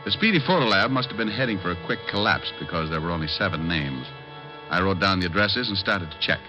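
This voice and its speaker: A low-voiced, wry private detective in a vintage radio crime show. He is breezy and quick with a wisecrack. The recording is muffled, with music around it. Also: animated. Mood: wry narrating. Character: breezy wisecracking male private detective narrating his case. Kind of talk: 1950s radio detective drama acting and narration.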